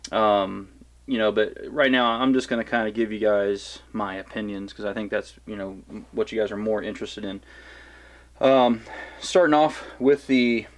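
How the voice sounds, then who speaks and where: A man giving a relaxed, rambling product review of radios, with lots of fillers and restarts. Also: fairly low pitch, moderate pace. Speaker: survival gear reviewer.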